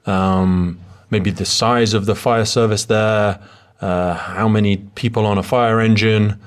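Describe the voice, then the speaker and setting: A man speaking as a podcast host in an earnest, measured way, moving between scripted introduction and interview talk. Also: lowish voice, fairly even intonation, clear, resonant tone.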